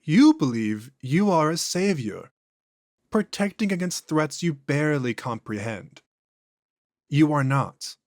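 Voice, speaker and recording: A man's voice: fairly high pitch, very animated, a little mellow, no echo, clean studio sound, exaggerated voice. A man doing a cold, imperious otherworldly entity. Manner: slow, detached, imperious.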